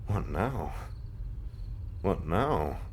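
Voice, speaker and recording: A man playing a devoted zombie husband in an intimate roleplay audio drama, speaking in a breathy, halting, wryly romantic and eloquent way. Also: deep voice, big pitch swings, slight background noise, heavily acted. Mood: tender.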